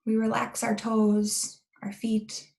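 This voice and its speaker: A woman guides a meditation in a calm and gentle manner. Her voice often settles into a pronounced creak. She speaks without hurry, leaving long pauses between short phrases. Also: fairly high pitch.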